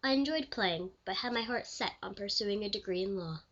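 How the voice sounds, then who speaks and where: A woman playing an elderly, proud pioneering lawyer and commissioner in a scripted interview, speaking formally and deliberately. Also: medium pitch, a bit bright, lo-fi sound, natural voice. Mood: reflective, proud. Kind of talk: scripted mock interview, reenacted historical figure.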